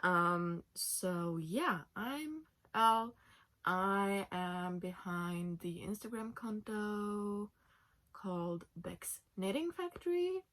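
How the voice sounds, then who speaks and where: Slow-talking young Norwegian woman. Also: medium pitch, expressive, fairly bright tone. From Norway. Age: 20s. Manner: chatty, with many fillers. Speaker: knitting podcast host. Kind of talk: casual chat. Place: in her bed at home.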